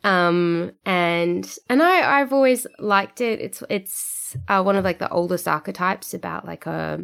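Australian female podcast guest, low and gravelly, enthusiastic and chatty. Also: measured pace, fairly bright tone. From Australia (Sydney). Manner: chatty and enthusiastic, often laughing. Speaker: podcast guest, writer and performer. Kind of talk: casual podcast interview.

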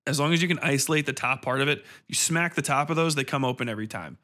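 Male reviewer-host, medium pitch. Unscripted solo monologue, colloquial and blunt, swears freely. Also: quick pace, bright, forceful tone. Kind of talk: casual off-the-cuff review monologue.

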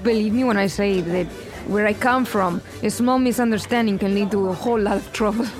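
An actress playing a volatile, theatrical TV producer in an audio drama, with an emphatic, profane, menacing way of talking. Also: female voice, medium pitch, brisk, over music, lightly acted. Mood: grandiose, sentimental. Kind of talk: audio fiction acting.

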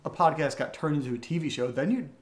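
A man's voice: on the high side, fast. A man co-hosting a podcast, reading out listener questions and chatting casually with playful, wry teasing. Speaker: audio drama podcast co-host.

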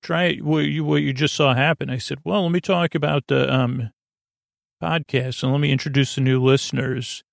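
A man hosting a bedtime podcast in a meandering, halting monologue, often interrupting himself, with a gently soothing, apologetic manner. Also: medium pitch, steady tone, vocal fry, no room sound, clean studio sound. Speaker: podcast host.

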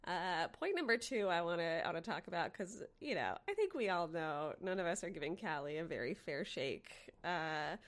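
A woman podcast host with a fairly low voice chats casually about listener mail. She is effusive and playful, leaning on words and repeating them for emphasis. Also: animated, moderate pace, hardly any pauses.

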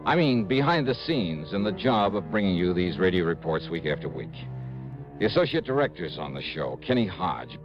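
A man's voice: sits low, very animated, normal speed. A man acting as a sincere American investigator in a mid-century radio drama. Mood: heartfelt, grateful. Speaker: star of a radio detective drama. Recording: muffled old radio recording with music.

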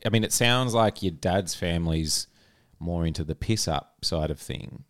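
A young Australian man co-hosting a podcast, teasing and quizzing his mates in casual banter. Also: moderate pace. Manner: quizzing, teasing, animated jumps in pitch. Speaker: podcast co-host.

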